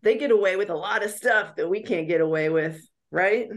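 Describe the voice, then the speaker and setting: A woman's voice: mid-range voice, brisk. A middle-aged woman historian chatting over a video call from a room at home, thoughtful and reflective, with many fillers. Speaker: history professor. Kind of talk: casual chat. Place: home room with a door and shelves.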